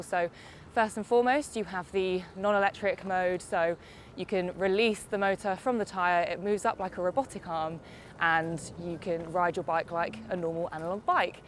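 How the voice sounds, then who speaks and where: A woman's voice: fairly low pitch, fairly even intonation, a little fast, a little hiss or hum. A young woman with a congested summer cold, reviewing an e-bike in a chatty, upbeat way while riding outdoors. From United Kingdom. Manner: chatty, upbeat, explains as she rides. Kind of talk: casual video review while cycling. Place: outdoors on a bike on roads and hills.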